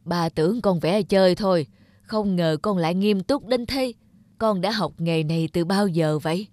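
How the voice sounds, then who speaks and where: An actor playing an earnest, remorseful older father who speaks in a slow, measured, solemn way in an animated drama. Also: sits high, no room sound, clean studio sound, lightly acted. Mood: surprised, regretful. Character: grey-bearded old father, veteran animator, earnest and remorseful. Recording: background music under the voice.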